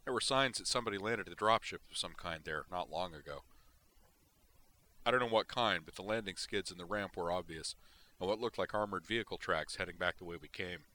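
A man's voice: sits low, fairly quick, bright, forceful tone. A man doing a burly, grizzled Marine sergeant. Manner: wry, blunt military talk.

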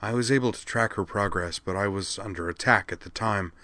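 A man voicing a tiny, haggard male master mage in a fantasy story, soft and raspy, speaking formally and gravely. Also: lowish voice, a little fast, no room sound. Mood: anxious, insistent. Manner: formal, solemn, earnest speech. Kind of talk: fantasy audiobook character dialogue.

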